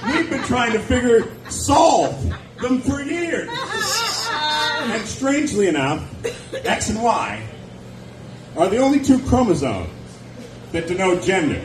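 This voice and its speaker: A man's voice: very high voice, big pitch swings, slow pace, long pauses. A man in his forties doing a stand-up set at a nightclub on a handheld mic, sardonic and chatty with the crowd. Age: 40s. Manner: sardonic, wry asides, chatty with the audience. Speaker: stand-up comedian. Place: nightclub stage, indoors. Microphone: handheld stage mic. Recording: echoey club recorded from a distance, with background noise.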